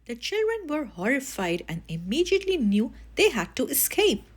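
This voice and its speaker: A woman reading a children's story aloud in an expressive way, with animated, dramatic emphasis. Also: sits high, normal speed. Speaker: children's story reader and channel host.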